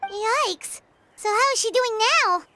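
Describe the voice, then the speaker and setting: Squeaky, extremely high little fairy. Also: female voice, slow, noisy background. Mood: concerned. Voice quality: squeaky, childlike. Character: tiny childlike fairy companion, cheeky, excitable, easily offended. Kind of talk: cartoonish video game character acting.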